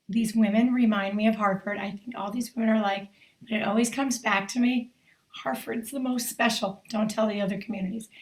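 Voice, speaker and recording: A woman with a hoarse voice talks warmly and loosely on a video call, drifting into asides as she goes. Her pitch stays fairly level, and her voice bounces around an echoey room at home. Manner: chatty and effusive, rambling asides. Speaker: federation volunteer leader, featured speaker. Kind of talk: off-the-cuff remarks on a video call. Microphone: video call mic.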